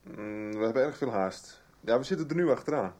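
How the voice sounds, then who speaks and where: An actor playing a brisk, bookish amateur sleuth in a 1990s Dutch radio soap. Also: male voice, mid-range voice, animated, darkish tone, natural voice. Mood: brisk, businesslike.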